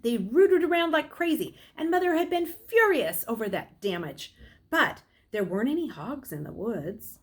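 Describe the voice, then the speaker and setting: A middle-aged woman reading a novel aloud as a storyteller, in expressive narration. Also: on the high side, normal speed. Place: small furnished room at home, indoors.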